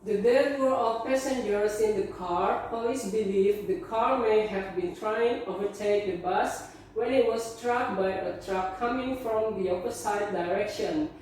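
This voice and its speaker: A woman's voice: mid-range voice, a little slow, a little mellow. A woman student, answering and reading aloud in class. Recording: echoey room, distant mic, faint noise.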